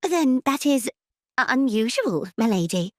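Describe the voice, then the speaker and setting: Female narrator as young maidservant in period romance audiobook, high-voiced, naive busybody, earnest, close dry sound. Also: sing-song, slow pace, no room sound, full character voice. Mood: worried, insistent. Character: anxious young lady's maid, naive busybody, deferential. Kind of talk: audiobook character acting.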